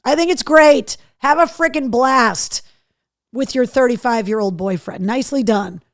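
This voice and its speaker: Female livestream host, middle-aged, somewhat high voice with a slight hoarseness, candid and cheeky chat, close dry sound. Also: measured pace, dry recording. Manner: candid, cheeky, mildly sweary. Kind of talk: casual live chat to viewers, reading out comments.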